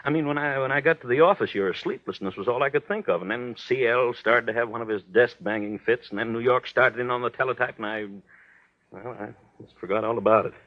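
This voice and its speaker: An actor playing a tired, fussy, well-meaning American husband in 1940s radio drama, with rambling, hesitant, folksy patter. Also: male voice, medium pitch, quick pace, lightly acted. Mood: awkward concern. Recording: dull old radio drama recording.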